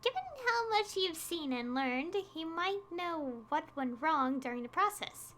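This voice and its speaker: A woman reading aloud a puzzled, earnest villager man in a video game, a little haltingly. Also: extremely high pitch, animated, a bit bright, slight background noise, exaggerated voice. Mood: polite request. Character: puzzled, earnest farmer villager man tinkering with homemade fertilizer.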